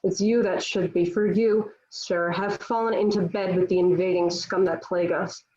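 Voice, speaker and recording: A woman's voice: lowish voice, steady tone, moderate pace, dark tone, lo-fi sound, lightly acted. A woman playing a defiant, devout young warrior held prisoner, speaking earnestly and declaiming in a table read of a historical play.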